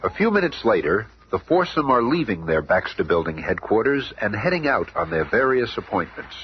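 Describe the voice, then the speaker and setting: A man's voice: fairly low pitch, very animated, a little fast. A man narrating a 1970s radio drama as a dramatic storyteller. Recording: dull, noisy old radio tape.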